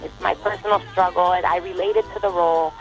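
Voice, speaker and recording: Animated woman telling stories on the radio. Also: fairly low pitch, quick pace, faint music behind. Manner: animated storyteller, laughs often. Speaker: actress, interview guest. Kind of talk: casual interview conversation. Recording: muffled lo-fi radio recording.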